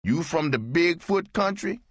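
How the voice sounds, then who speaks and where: A man narrating an audiobook voices a teasing barfly with a Southern US accent, pitched far above his own low voice. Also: lively intonation, a little slow, no echo, clean studio sound. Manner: teasing, drawling.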